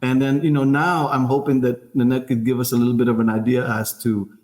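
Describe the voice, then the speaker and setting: A man's voice: mid-range voice, flat delivery, a little fast, a little mellow, roomy sound. A man from the Philippines hosting a podcast, asking questions in a chatty way with many fillers. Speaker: podcast host. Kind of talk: casual interview chat.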